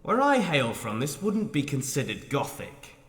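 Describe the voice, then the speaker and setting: A man playing a high-voiced sarcastic adventurer. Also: wildly swooping pitch, a little slow, a bit bright, exaggerated voice. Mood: dry, pedantic. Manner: dry, exasperated, sweary. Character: sarcastic, pedantic, put-upon male adventurer. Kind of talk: comic fantasy audio drama. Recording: echoey hall-like reverb.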